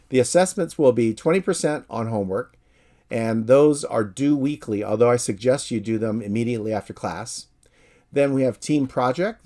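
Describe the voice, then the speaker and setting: Calm American professor, low mellow voice. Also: male voice. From San Francisco, USA. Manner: calm, friendly, measured explanation. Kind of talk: recorded course introduction.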